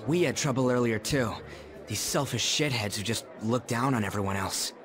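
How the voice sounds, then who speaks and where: A man playing a brash, hot-headed teenage delinquent with rough, slangy, blunt speech in a video game. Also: medium pitch, normal speed, close to an everyday voice. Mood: earnest, thoughtful. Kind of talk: English video game voice acting. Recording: music behind the voice.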